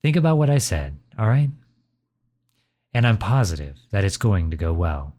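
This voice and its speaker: A man playing a gentle, reassuring father comforting his child in a soft, soothing ASMR roleplay. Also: fairly low pitch. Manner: soft, soothing, unhurried encouragement.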